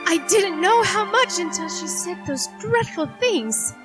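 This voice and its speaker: A woman's voice: very high-pitched, expressive, a little slow, over music. A woman acting a proper, earnest, romantic young lady in a 1940s-style radio drama, prim and theatrical. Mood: tender. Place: live stage in a theater.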